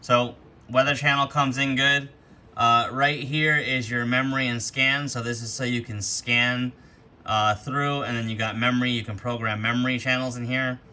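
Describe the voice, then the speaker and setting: A man's voice: mid-range voice, level tone, moderate pace, bright, forceful tone. A man hosting a casual, hands-on product walkthrough, explaining things informally as he goes. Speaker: host demonstrating radio gear. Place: attic, with rain outside. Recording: background noise, rainy attic ambience.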